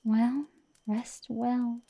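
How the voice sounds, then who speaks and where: A woman playing a brash but secretly tender dragon girl sorceress in an intimate ASMR roleplay, soft and sometimes whispering, teasing and affectionate, close to the mic. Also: fairly high pitch, level tone, drawn-out words, heavily acted. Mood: playful, gentle. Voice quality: soft, breathy whisper at times.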